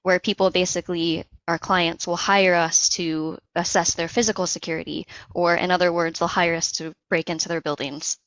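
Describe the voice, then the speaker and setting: Woman, lower-pitched voice, conversational interview guest, explanatory, dry lo-fi recording. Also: flat delivery, moderate pace, hardly any pauses, a bit bright, dry recording. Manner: explains step by step with examples. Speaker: interview guest, social engineering analyst.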